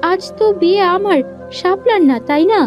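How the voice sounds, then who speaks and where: A woman playing an innocent, wronged young village bride in a cartoon fairy tale, gentle and plaintive. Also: extremely high pitch, moderate pace. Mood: hurt. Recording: background music under the voice.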